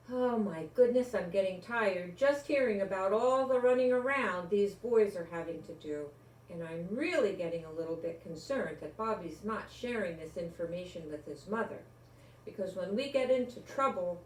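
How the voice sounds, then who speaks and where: An older woman reads a children's story and talks to young viewers in a deliberate, expressive way. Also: lowish voice, slight background noise. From Rhode Island, United States. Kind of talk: children's storybook read-aloud and friendly address to young viewers. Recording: echoey room, distant microphone.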